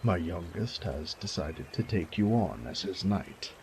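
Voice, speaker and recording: Elderly noble father, doting, genteel, effusive, slow, very animated pitch, audio drama. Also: a little hiss or hum. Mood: jovial, courteous. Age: older. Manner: effusive, genteel, old-fashioned phrasing. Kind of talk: audio roleplay drama acting.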